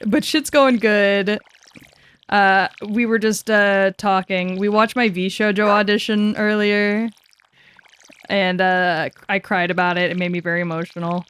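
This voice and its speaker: Twenties woman streamer, giggly and swears a lot, mid pitch, mumbles while she plays. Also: fairly bright tone, smooth. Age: 20s. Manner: giggly, swears casually, mutters while working things out. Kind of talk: casual gaming stream chat.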